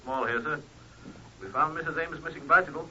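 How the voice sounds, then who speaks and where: A man playing an eager, deferential detective sergeant, sharp and rough-edged, giving halting reports in an old-time radio crime drama. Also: fairly high pitch, full character voice. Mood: dutiful. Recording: dull lo-fi old radio recording.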